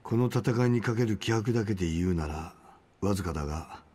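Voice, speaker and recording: Deep, dark-voiced stern mentor. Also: male voice, animated, slightly rough. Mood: matter-of-fact. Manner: measured, solemn, terse. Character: stern, sage middle-aged male fighting mentor. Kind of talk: dramatic video game cutscene acting.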